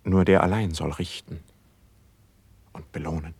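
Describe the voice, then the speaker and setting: A humble young court poet in a classical radio play. The voice is rather low and husky, recorded very dry. He declaims verse in a solemn, measured way, with fervent feeling. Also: steady tone, a little mellow, dry recording, full character voice. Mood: devoted, exalted. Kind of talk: classical verse drama, stage-trained declamation.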